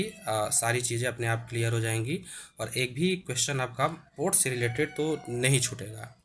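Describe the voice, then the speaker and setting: A man with a sore throat, explaining an exam-prep lesson with many hesitations and frequent throat clearing. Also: medium pitch, a bit bright, a little hiss or hum.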